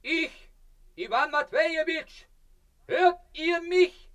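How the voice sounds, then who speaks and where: A mild, fussy civil servant in a radio comedy. He speaks in a high voice, very slowly and deliberately, with placid calm. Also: exaggerated voice. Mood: calling out. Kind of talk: theatrical radio comedy acting.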